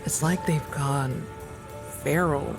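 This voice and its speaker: An actor plays a weary, observant field operative recounting a rescue in an audio drama, in measured, halting phrases. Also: gravelly. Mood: sober, observant. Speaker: narrator and field worker character. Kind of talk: audio drama narration, log-like account. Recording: music bed and engine rumble behind. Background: music, vehicle sounds.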